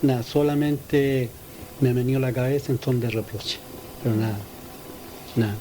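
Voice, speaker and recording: A man giving an informal spoken account of a personal experience as an interviewee, halting and repeating his words. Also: mid-range voice, steady tone, dark tone. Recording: noisy ambient field interview recording.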